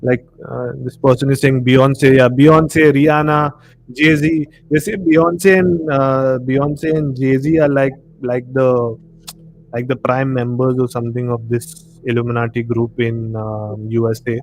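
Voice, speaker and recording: Man, Indian accent, live stream host, casual rambling talk, mid-pitched voice, clear background noise. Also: little pitch movement, measured pace, low-quality recording.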